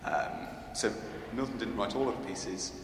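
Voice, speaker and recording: A man's voice: lowish voice, normal speed. A man from Scotland, a cellist, answering audience questions in a church, thoughtful and hesitant with many fillers. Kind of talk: unscripted Q&A answers. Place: large church. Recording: echoey church with background noise.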